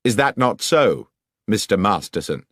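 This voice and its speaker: Man acting out an incisive, commanding British detective, animated. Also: fairly low pitch, measured pace, no room sound, clean studio sound, exaggerated voice. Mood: accusing. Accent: British English. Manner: precise, assured explanatory delivery. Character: brilliant, incisive male detective, coolly confident and commanding.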